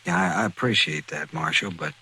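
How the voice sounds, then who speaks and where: A polite doomed newcomer out west, really deep raspy voice, resigned, old radio western. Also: male voice, sing-song, a little fast, heavily acted. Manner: polite, resigned, soft-spoken understatement. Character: courteous, doomed, quietly resolute newcomer out West. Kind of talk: 1950s radio western acting. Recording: vintage radio drama recording.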